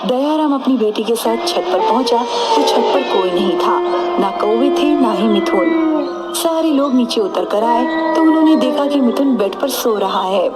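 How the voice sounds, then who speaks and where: A woman with a very high voice narrates a horror story as a storyteller. Her delivery is scripted, and loud music runs underneath. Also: animated, normal speed. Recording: music behind the voice.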